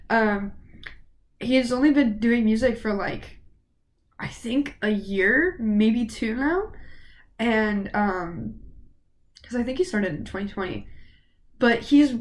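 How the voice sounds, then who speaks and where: A woman in her late teens chatting casually to camera as a vlogger, rambling and gushing, a bit sniffly from a cold. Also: medium pitch. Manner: rambling, gushing fan talk.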